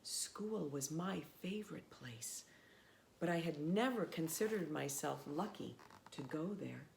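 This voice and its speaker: A woman reading a children's storybook aloud in a measured, expressive way with pauses. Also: low-pitched, gravelly, slight background noise.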